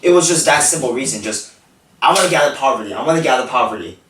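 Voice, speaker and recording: A man's voice: mid-range voice, very fast, music in the background. Teen guy chatting in an echoey room. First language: Korean. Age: teens. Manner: animated storytelling, frequent "like" fillers. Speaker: podcast host. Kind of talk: casual chat. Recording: echoey room, distant mic.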